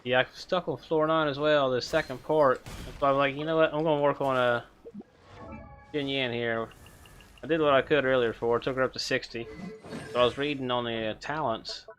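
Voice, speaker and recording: A male streamer giving relaxed, rambling gameplay commentary and chatting with viewers. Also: mid-range voice, brisk, soft background music.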